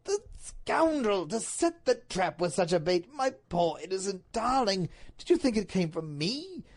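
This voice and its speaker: A woman's voice: medium pitch, big pitch swings, measured pace, voice pushed up. A woman voicing a bewildered, indignant but doting duke in a storybook reading, with a pompous, emphatic, melodramatic delivery. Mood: alarmed, tender.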